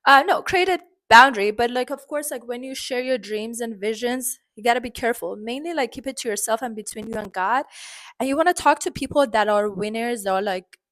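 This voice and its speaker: A woman from Iran with an Iranian accent, speaking English as a second language, chatting expressively in a casual vlog full of fillers and sudden pitch jumps. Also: fairly quick, fairly bright tone. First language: Farsi. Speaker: vlogger.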